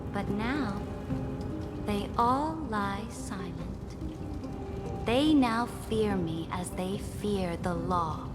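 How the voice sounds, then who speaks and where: A woman's voice: mid-range voice, drawn-out words. A woman playing a proud, ruthless conqueror emperor in a video game, speaking in grand, commanding, theatrical declarations. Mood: cold, commanding. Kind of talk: dramatic video game voice acting. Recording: long reverberant hall. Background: clear music.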